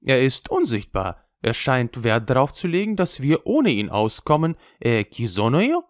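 A man's voice: mid-range voice, huge pitch swings, moderate pace, no room sound, studio quality. A man voicing a cynical, worldly old traveling conjurer who speaks with an Italian accent and slips Italian words into his speech. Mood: dismissive. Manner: sly, mocking, sprinkles Italian words.

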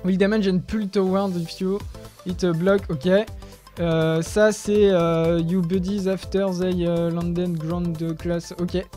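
A young man giving excited commentary over his own gameplay, exclaiming often and talking straight to his viewers, recorded on a desk mic with headphones on. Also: high-pitched, takes it slowly, soft background music. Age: young adult. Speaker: gaming video creator.

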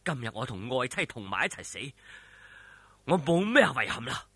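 A man voicing a young swordsman hero in a storybook telling, low-key, sighing and ironic. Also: very animated, normal speed, bright, forceful tone, breathy edge, no room sound, exaggerated voice. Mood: wry defiance. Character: defiant, wry young male swordsman hero. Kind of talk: Cantonese audio storytelling.

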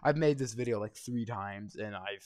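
A young man with a slightly hoarse voice, ranting and swearing constantly while commenting over gameplay. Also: on the low side, normal speed, a little mellow, no echo, clean studio sound. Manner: angry, swearing constantly, rambling. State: voice going hoarse. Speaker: gaming vlogger.

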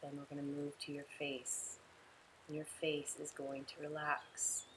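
A woman leading a guided relaxation meditation in a soothing, unhurried way, giving instructions in short, spaced phrases. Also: deep voice, completely flat delivery, background noise.

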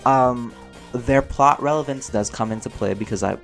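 A man's voice: mid-range voice, normal speed, gravelly. A man giving a casual, rambling, playful Q&A as a voice actor, chatty and thinking aloud. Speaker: voice actor and audio creator answering fan questions. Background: music under the voice.

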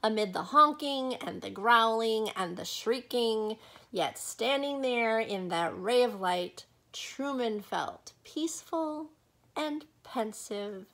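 A middle-aged woman with a fairly high voice reads a picture book to children. She takes her time, drawing words out and pausing for drama. Now and then she steps out of the text to chat with her young listeners. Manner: expressive, dramatic pauses and drawn-out words. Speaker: storyteller reading a children's picture book to viewers. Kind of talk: read-aloud storytime with casual asides to young listeners.

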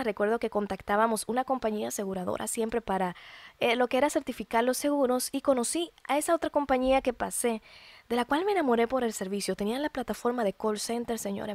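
A Dominican woman in her early thirties hosting a podcast, telling a personal testimony in a heartfelt, expressive way with colloquial asides. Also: a little high, fairly quick, dry recording. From Dominican Republic. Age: early 30s. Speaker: podcast host.

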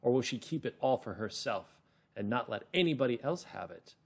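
A middle-aged man teaching children through a read-aloud story, deliberate, explanatory and expressive. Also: medium pitch, creaky, slightly rough. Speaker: reading teacher.

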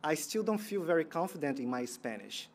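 A man, giving a prepared graduation keynote in a measured, earnest way from a podium outdoors. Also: on the high side, sing-song, gravelly, a little hiss or hum. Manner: measured, earnest delivery with pauses. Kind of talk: prepared commencement speech. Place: outdoor sports field ceremony. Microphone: podium microphone.

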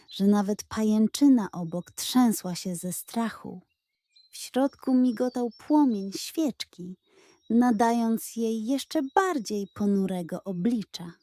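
A woman narrating a scripted bedtime story for children in a gentle, expressive way. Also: medium pitch, no room sound.

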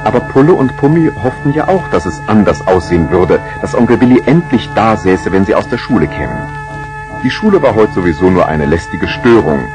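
A man's voice: lowish voice, low-quality recording. A man narrating a 1970s children's radio play as a scripted storyteller.

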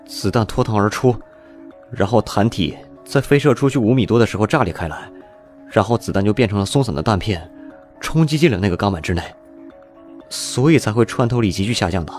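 A man's voice: fairly low pitch, expressive, brisk, darkish tone, faint music behind, natural voice. A man voicing a terse, matter-of-fact male fighter in an audiobook drama. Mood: recounting.

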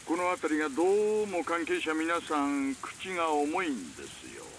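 An older male detective with a fairly high voice that swings freely in pitch. He is formal, measured and insistent, probing politely in game-drama style, with faint rain and hiss behind him. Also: very animated, fairly bright tone. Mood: measured, confiding. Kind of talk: Japanese visual novel acting.

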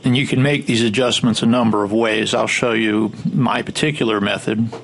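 A man giving informal, step-by-step instructional narration as a software tutorial instructor, with a gravelly voice. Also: mid-range voice, steady tone, normal speed, poor audio. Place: indoor voice-over for a screen recording. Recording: faint background noise.

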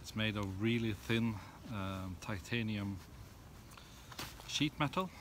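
A middle-aged man casually demonstrating outdoor gear in a halting, unscripted way, recorded on a camera in a tent and snowy woods. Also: lowish voice. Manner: hesitant, halting step-by-step explaining. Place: snowy forest and inside a tent. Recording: clearly noisy camera audio with clicks.

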